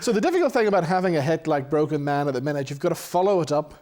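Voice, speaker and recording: A man hosting a studio interview, chatty and upbeat, quick to laugh. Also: a little high, lively intonation, darkish tone. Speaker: show host. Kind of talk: friendly radio interview. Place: radio studio.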